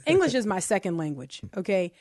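A woman's voice: mid-range voice, big pitch swings, brisk, a little mellow, no room sound, clean studio sound. A woman from New Orleans with a local accent, co-hosting a casual commentary chat and piling up emphatic rhetorical questions. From New Orleans, USA. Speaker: podcast co-host.

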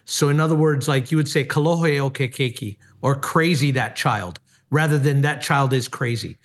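A man's voice: medium pitch, moderate pace. A middle-aged man from Hawaii, a former pastor, telling his story earnestly with frequent hesitations. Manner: earnest, many hesitations and fillers. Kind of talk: personal testimony in interview.

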